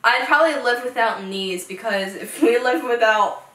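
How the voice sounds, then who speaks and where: A young woman hosting a casual vlog, reading out questions with a squeamish, giggly manner and a slightly hoarse voice. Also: a little high, moderate pace, fairly bright tone. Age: young adult. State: slightly hoarse, losing her voice. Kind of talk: casual chat. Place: small bedroom, seated on a bed. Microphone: far camera mic. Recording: roomy, distant camera sound.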